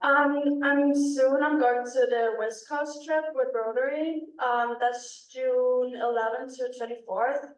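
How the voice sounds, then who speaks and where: A young Danish-accented woman with a slightly high voice talks casually, filling pauses with ums and likes. She sounds a little far from the mic, and the meeting room gives her voice a noticeable echo. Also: fairly even intonation. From Denmark. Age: young adult. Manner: chatty with many ums and likes. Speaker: exchange student. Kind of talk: casual talk at a club meeting.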